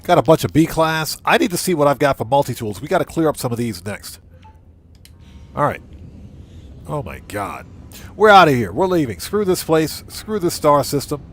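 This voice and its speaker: A man's voice: on the high side, big pitch swings, normal speed, fairly bright tone. A man hosting a casual gameplay livestream, commenting in exclamations and emphatically repeated short phrases. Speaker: livestream host.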